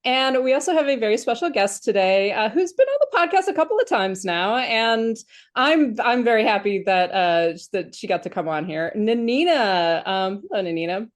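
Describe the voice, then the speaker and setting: A woman's voice: on the high side, animated, moderate pace. A woman in her thirties hosting a casual podcast, chatting with restarts and fillers and laughing often. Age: 30s. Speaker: podcast host.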